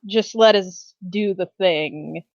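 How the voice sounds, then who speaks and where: A woman's voice: fairly low pitch, slow, no room sound. A woman from New England chatting casually as a player in a tabletop game, on slightly muffled audio. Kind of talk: casual chat during a game.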